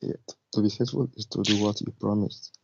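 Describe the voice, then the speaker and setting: A man's voice: on the low side, steady tone, brisk, vocal fry, a little mellow, a little hiss or hum. A man from Nigeria, calling in to an argumentative debate, explaining his position firmly in formal words over a muffled connection. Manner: firm, explanatory, formal wording. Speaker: debate caller. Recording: muffled lo-fi call, dry, clicks.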